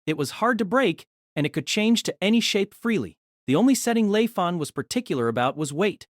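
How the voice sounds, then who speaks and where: Man, fantasy audio drama narrator, expressive swooping intonation, dry close sound. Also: high voice, fairly quick, dry recording. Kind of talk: scripted story narration.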